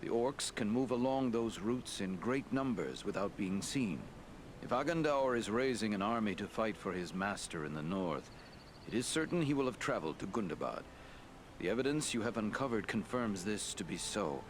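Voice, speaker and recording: Male actor as wise noble lord, low voice, courteous, measured, grave, fantasy game, faint rumble behind. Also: animated, close to an everyday voice. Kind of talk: dramatic fantasy game acting.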